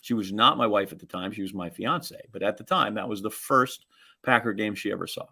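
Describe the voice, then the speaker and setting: A man's voice: fairly low pitch, fairly quick, creaky, bright, forceful tone, husky. A middle-aged man co-hosting a podcast, chatting casually about sports with dry, teasing sarcasm. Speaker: podcast co-host.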